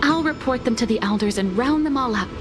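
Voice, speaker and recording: A woman acting an overeager female attendant from a video game. Her high voice is formal, deferential and solicitous, with music playing behind. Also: fairly quick, natural voice. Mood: dutiful, reassuring. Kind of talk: scripted video game dialogue.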